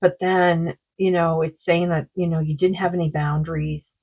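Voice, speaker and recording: A woman with a sore throat giving a rambling, casual oracle card reading, full of fillers and slow read-aloud passages. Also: on the low side, level tone, vocal fry, darkish tone. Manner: rambling, many fillers, reads card texts aloud slowly. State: sore throat, losing her voice.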